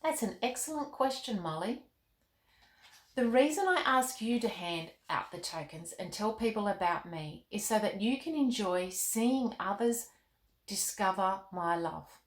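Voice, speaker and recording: A woman reading the part of a gentle, wise, fatherly storybook king in a calm, measured, reassuring way. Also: medium pitch, close to an everyday voice. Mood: calm, kindly. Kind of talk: storybook read-aloud. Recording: roomy, echoing indoor sound.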